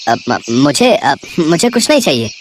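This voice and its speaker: A woman's voice: deep voice, very animated, normal speed, a little hiss or hum, voice pushed down. A woman playing a shy, smitten young male student in a story, humble and nervously stammering. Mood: nervous, bashful.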